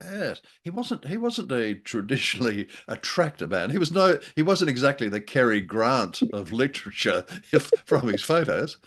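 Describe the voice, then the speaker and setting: A man's voice: medium pitch, very animated, normal speed, hardly any pauses, a bit bright. A man co-hosting a casual podcast chat, talking in a jokey, rambling, hesitant way. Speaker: podcast co-host.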